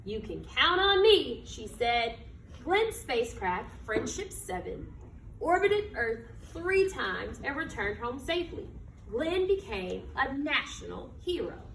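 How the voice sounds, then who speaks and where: A high-voiced woman reads a children's story aloud in a very lively, expressive way. She phrases things dramatically and asks her listeners questions. The sound is roomy and distant from the mic, with faint noise. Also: slow, gravelly. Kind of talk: expressive children's picture book read-aloud.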